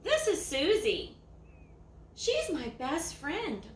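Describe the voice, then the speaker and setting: A woman voicing a lazy, self-assured old ranch dog in a folksy, slow storybook read-aloud. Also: high voice, huge pitch swings, full character voice. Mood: fond. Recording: echoey room, faint background noise.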